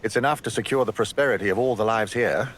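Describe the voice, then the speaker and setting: An actor playing a polite, earnest settler in a game cutscene, courteous and questioning in manner. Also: male voice, on the low side, fast talker, a bit bright, a little hiss or hum, natural voice. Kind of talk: dramatic game cutscene acting.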